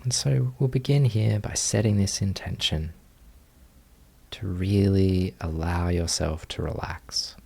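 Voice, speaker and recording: Low, slow, creaky-voiced man guiding meditation. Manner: gentle, unhurried, inviting, with long pauses. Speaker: meditation teacher. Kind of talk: guided meditation.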